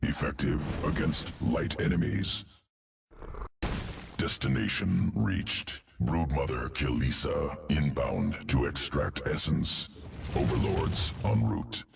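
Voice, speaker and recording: A man's voice: very deep voice, animated, slow pace, noisy background, full character voice. A man voicing a cold, clinical alien creature that engineers genes in a video game, guttural, speaking in clipped telegraphic phrases. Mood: detached, clinical. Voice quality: guttural, gravelly. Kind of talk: video game character dialogue.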